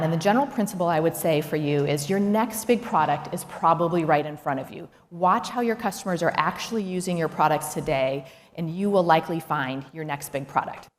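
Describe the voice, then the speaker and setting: Female business keynote speaker, lowish pitch, instructive and emphatic delivery, recorded in a reverberant venue. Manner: instructive, emphatic, with rhetorical questions. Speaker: chief executive giving a conference talk. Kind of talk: prepared business keynote to an audience. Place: large indoor talk venue. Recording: echoey hall, noticeable background noise.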